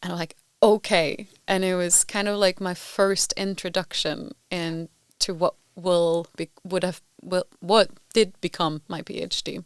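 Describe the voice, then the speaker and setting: A woman chats with a guest in a casual interview, sometimes wandering through her sentences. Her voice is lowish and smooth, recorded close and dry. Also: fairly even intonation, measured pace, few pauses, no room sound. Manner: warm, chatty, a little rambling. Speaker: interviewer, former PhD student.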